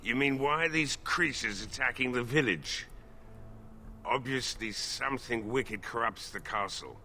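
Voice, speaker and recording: Gruff, forceful old drunken blacksmith. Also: male voice, mid-range voice, measured pace. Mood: drunken, brooding. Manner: gruff, weary, resigned. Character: despairing, drunken old male village blacksmith, bitter and stubborn. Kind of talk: video game voice acting. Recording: faint background noise.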